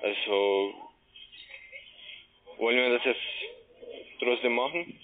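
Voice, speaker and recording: A man gives a phone sales pitch in non-native German with grammatical slips. He is halting and often repeats himself. His medium-pitched voice comes through a muffled, narrowband telephone line. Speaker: call centre agent pitching online trading.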